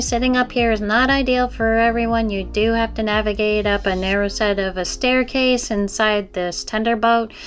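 A woman's voice: fairly high pitch, fairly bright tone. Chatty woman hosting a travel tour, music behind. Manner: chatty, addresses viewers with questions and tips. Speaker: travel vlogger, tour guide host. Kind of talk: casual guided-tour narration.

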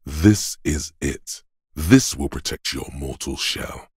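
An actor voicing a stern, gruff, goading inner voice of bodily endurance in a video game, with a gravelly, husky bass and a weighty, deliberate delivery. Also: male voice, expressive, no room sound, studio quality, exaggerated voice. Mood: brooding, solemn. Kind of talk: dramatic video game narration.

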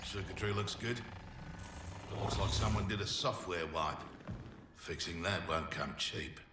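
Deep, gruff Australian crime boss. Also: male voice, unhurried, heavy background noise. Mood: scheming. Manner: taunting, swaggering, slangy. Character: sly, cocky, brutal middle-aged male crime boss mercenary. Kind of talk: video game cutscene acting. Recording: roomy echo, loud music underneath.

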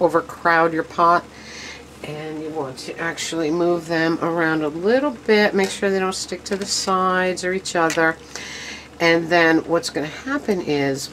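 Low-voiced woman chatting through a home cooking lesson. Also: a bit bright, slight background noise, rough recording. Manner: chatty, enthusiastic, addresses viewers as guys. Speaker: home cooking show host. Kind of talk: casual cooking demonstration. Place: home kitchen at the stove.

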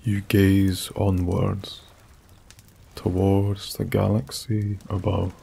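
A Scottish man narrating a bedtime story in a soft-spoken, soothing ASMR style, with long pauses. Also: sits low, level tone, vocal fry, slightly rough. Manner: slow, soothing, hushed delivery with long pauses. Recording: faint background noise. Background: soft nature ambience.

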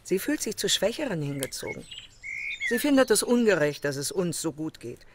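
A woman's voice: on the low side, sing-song, normal speed. Troubled mother amid birdsong. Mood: troubled, bitter. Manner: troubled, defensive. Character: anxious, bitter mother of a missing daughter. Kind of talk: crime audio drama dialogue. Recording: birdsong and noise behind. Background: chirping birds.

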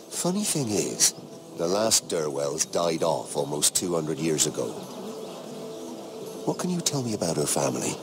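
An actor playing a courteous, wary middle-aged lord in a fantasy game, confiding gossip discreetly. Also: male voice, extremely low pitch, exaggerated voice. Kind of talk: dramatic fantasy game acting.

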